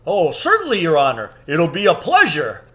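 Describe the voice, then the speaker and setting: A man's voice: fairly high pitch, wildly swooping pitch, normal speed, a bit bright, pitched above the performer's own voice. A man voicing a bumbling, good-natured giant from a storybook, polite and deferential, with a hesitant, stammering way of talking. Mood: eager, deferential. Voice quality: puffing, breathy effort.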